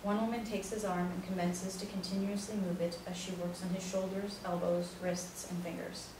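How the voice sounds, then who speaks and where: A woman's voice: on the low side, level tone, normal speed. Woman narrator in a very echoey room. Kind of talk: scripted narration of stage directions. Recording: very echoey room, distant mic, noisy.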